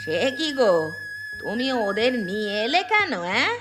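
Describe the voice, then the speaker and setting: Woman as harsh cartoon stepmother, older wife, high voice, sharp scolding manner, music underneath. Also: very animated, moderate pace. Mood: angry, scornful. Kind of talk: cartoon folk tale voice acting. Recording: music behind the voice.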